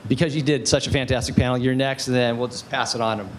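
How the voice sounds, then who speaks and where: Male moderator, 40s, energetic, handheld mic, conference room. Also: medium pitch, quick pace, background noise. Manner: energetic, gestures while talking. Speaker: panel moderator. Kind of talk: conference panel talk.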